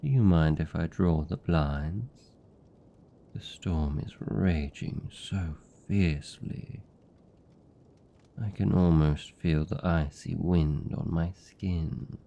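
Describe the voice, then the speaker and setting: Casting: a man to play a menacing yet tender British vampire, extremely unhurried, slightly muffled sound, teasing intimate ASMR delivery. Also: heavily acted. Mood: calm, sly. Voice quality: gravelly, dark, low. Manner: slow, hushed, deliberate, teasing. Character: seductive possessive male vampire captor, tender yet menacing. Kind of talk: intimate ASMR fantasy roleplay.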